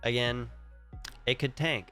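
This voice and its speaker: A man with a stuffy, congested voice from a cold, wandering through his thoughts in a relaxed, casual way at a middle pitch. He restarts his sentences and thinks aloud as he goes. Faint music plays behind him. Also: slow. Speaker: crypto vlogger. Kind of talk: casual screen-share commentary.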